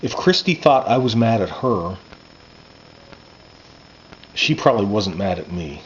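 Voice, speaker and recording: A man's voice: on the low side, very animated, measured pace. Muffled recording, man reading a novel aloud. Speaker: author reading own novel. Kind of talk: audiobook-style first-person fiction reading. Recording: muffled lo-fi with background noise.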